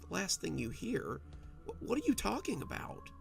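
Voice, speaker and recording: A man's voice: sits high, wildly swooping pitch, moderate pace, vocal fry, soft background music, pitched above the performer's own voice, heavily acted. A man voicing a worried young husband who stammers as his fear grows. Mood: alarmed. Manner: anxious, stammering.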